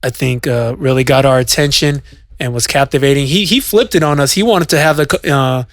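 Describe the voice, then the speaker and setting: A man's voice: medium pitch, a little fast, no echo. Man with a dry, close-mic'd sound. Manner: rambling storyteller, many fillers and restarts. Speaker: podcast co-host and DJ. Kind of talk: casual podcast chat.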